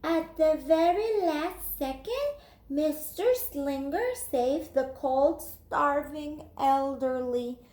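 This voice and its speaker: A woman's voice: high-pitched, slow, a little mellow, roomy sound, pitched above the performer's own voice. A woman voicing an eager, bossy little girl in a children's storybook, with an emphatic, gushing delivery. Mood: proud, dreamy. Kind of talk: children's storybook read-aloud.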